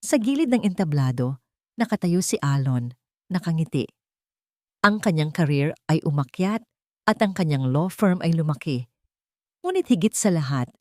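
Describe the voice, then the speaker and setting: A woman narrating a scripted romance story in an earnest, sentimental, measured way. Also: deep voice, mellow, dry recording, studio quality.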